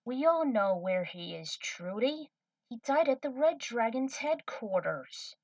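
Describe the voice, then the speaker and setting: A woman's voice: a little high, very animated, unhurried, a little mellow, no room sound, recorded from a distance, highs cut off, voice pushed up, exaggerated voice. A woman voicing a determined, loyal young female gambler in a fan fiction story reading. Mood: saddened, blunt.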